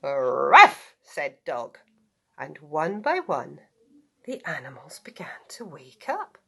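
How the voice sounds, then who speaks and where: A woman reading a children's bedtime story aloud in a theatrical, drawn-out way, dropping into hushed tones. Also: low-pitched, big pitch swings, creaky, slightly rough. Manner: theatrical, drawn-out, with hushed whispers and acted yawns. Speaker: storybook reader, school staff member.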